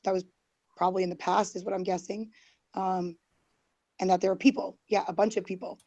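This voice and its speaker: A woman with a mellow, dark tone, her voice worn and fading. She rambles defensively, full of "like" and "um" and restarts. The sound is dry and close. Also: mid-range voice, flat delivery, fairly quick, no echo, muffled recording. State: losing her voice. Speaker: guest. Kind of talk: heated online group call.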